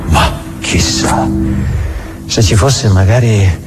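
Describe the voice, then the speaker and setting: Un attore che interpreta un diavolo chiacchierone e disincantato, ironico e autoironico, in un monologo teatrale per la radio. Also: male voice, fairly low pitch, slow pace. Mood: teasing, sardonic. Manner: ironic, musing, conversational. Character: sardonic, chatty devil, world-weary self-mocking parasite. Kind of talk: dramatic stage monologue for radio. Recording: music running under the voice. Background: music.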